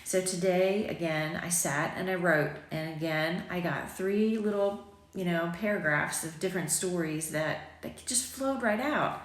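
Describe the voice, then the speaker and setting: A woman in her forties with a low voice and a slight morning rasp, chatting casually with viewers and rambling with plenty of ums. She talks into a phone held at arm's length in a sunny kitchen, and the room gives her voice a noticeable echo. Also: measured pace. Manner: chatty, rambling, self-deprecating, with frequent ums. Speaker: boutique owner vlogging. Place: sunny home kitchen, indoors. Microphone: phone camera at arm's length.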